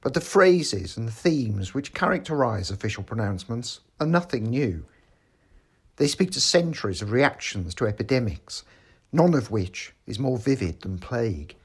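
A man narrates a scripted essay. His delivery is formal and measured, with a slightly husky, less polished tone at an average male pitch. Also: sing-song. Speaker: article reader.

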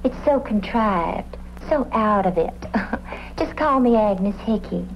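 A dreamy hippie florist in an old radio drama. She gushes in whimsical, flowery phrases at a medium pitch. The recording is muffled, with a faint layer of noise. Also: animated, slow. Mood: dreamy, gushing. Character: airy, dreamy hippie flower-shop woman with theatrical flourishes. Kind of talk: comedic radio police drama acting.

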